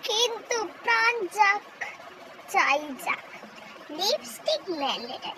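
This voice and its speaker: A young woman chatting playfully and self-mockingly in a casual vlog, her voice altered by a high-pitched filter. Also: sing-song, measured pace, background noise. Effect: high-pitched voice-changer filter. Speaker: social media video creator.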